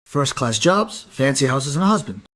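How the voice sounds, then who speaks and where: An actor playing a defiant, resentful young out-of-work suspect in a crime radio play, sullen and evasive. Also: male voice, mid-range voice, big pitch swings, normal speed, a bit bright. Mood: bitter, resentful. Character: defiant, resentful young unemployed working-class male suspect.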